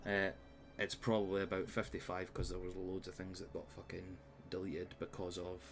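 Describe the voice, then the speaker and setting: A man's voice: deep voice, completely flat delivery, moderate pace. A Scottish man running a tabletop game on a live stream, bantering and swearing before a recap. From Scotland. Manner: swears a lot, jokey banter. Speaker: game master. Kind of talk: casual chat and game recap. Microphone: live stream mic.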